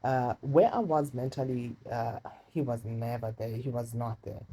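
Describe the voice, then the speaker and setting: A woman with a deep voice casually telling a personal story, chatty and confiding, often repeating words for emphasis. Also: normal speed, mellow, breathy edge. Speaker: storytime vlogger. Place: indoors while rain falls outside. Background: light rain.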